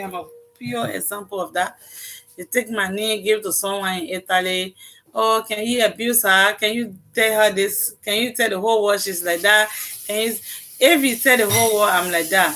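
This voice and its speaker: A woman's voice: medium pitch, a bit bright. A woman from Africa with an African accent, chatting earnestly on her live stream and laughing often. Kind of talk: casual chat on a live stream.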